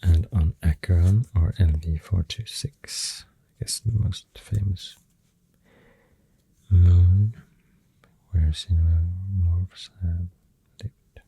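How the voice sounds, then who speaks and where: A soft-spoken ASMR host with a Swedish accent, talking in a hushed, breathy, partly whispered voice, halting and gentle, close to the microphone. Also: deep voice, steady tone, no room sound, low-quality recording.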